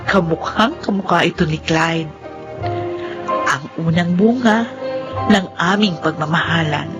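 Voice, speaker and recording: A woman's voice: on the low side, a little slow, close to an everyday voice. A woman playing a devoted, sentimental young wife telling her life in a Filipino radio drama. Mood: tender, reflective. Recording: dull sound with music underneath.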